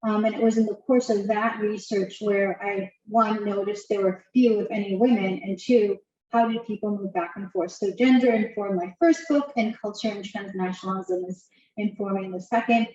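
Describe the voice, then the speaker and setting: A middle-aged woman from Turkey who works as a professor, giving a measured academic talk in a webinar with frequent "um" fillers. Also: medium pitch, steady tone, a little mellow, recorded from a distance, muffled recording.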